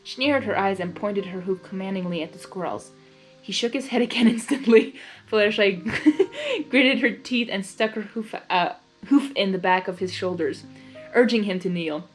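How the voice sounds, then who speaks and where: A woman narrating fan fiction in a blind reading, expressive, with her own giggly asides. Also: medium pitch, normal speed, soft background music.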